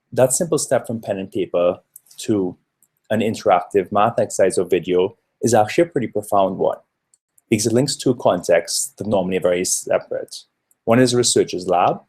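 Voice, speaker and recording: A man's voice: lowish voice, darkish tone, slightly rough. A man in his thirties from Trinidad giving an earnest, explanatory conference presentation with occasional fillers. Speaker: researcher presenting a talk.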